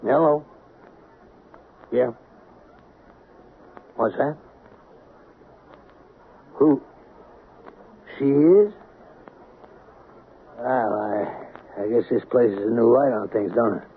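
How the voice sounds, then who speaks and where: A man's voice: medium pitch, very slow, long pauses. An American man playing a folksy, plain-spoken small-town sheriff, hesitant and apologetic yet probing, in an old-time radio drama. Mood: puzzled, chatty. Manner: folksy, hesitant, rural turns of phrase. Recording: narrow, noisy old radio broadcast.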